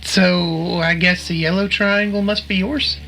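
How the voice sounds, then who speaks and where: A woman's voice: on the low side, fairly even intonation, a little slow, vocal fry, fairly bright tone, faint music behind, rough recording. A woman acting as a curious friend in a mystery audio drama, talking in a casual, questioning way. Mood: curious, concerned. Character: curious, casual female friend watching a game.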